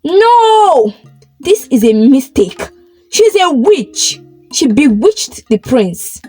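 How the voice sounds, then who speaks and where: A cruel, bullying stepmother from a folktale, played by a woman storyteller. She snaps and scolds in a high, very animated voice. Faint music plays underneath. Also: extremely slow, full character voice. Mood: screaming fury. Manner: snapping, scolding.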